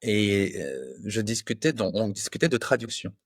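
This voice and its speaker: A man in his fifties from France with a lowish voice, explaining his craft in a patient, measured way, pausing often to find the right word. The recording is dry and close, with no reverb. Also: very animated. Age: 50s. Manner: measured, patient, many drawn-out fillers. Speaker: guest, translator. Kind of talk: explanatory interview answers.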